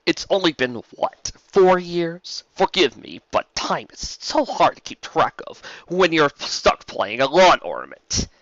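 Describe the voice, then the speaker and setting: A man's voice: on the high side, sing-song, measured pace, hardly any pauses, a bit bright, no room sound, pitched above the performer's own voice, full character voice. A mocking serpentine spirit of chaos, acted out by a man, swoops theatrically through drawling sarcasm in a storybook reading. The sound is dry and a little dull. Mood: mocking, amused.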